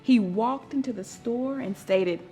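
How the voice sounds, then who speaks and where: A woman reading a children's story aloud in a deliberate, expressive and dramatic storytelling manner. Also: on the high side, slightly rough, faint music behind. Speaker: storybook reader.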